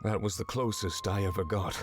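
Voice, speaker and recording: Man voicing a grave, low-voiced fantasy game inquisitor. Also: faint music behind, close to an everyday voice. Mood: wistful. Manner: grave, measured, polite, formal. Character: earnest, reserved former Grand Inquisitor, furry male fantasy character. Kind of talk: visual novel game voice acting.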